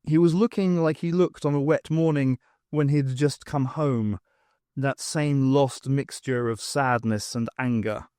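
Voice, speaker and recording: A man narrating an audiobook at home on a close mic, measured and expressive. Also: mid-range voice, clean tone, dry recording. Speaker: audiobook reader.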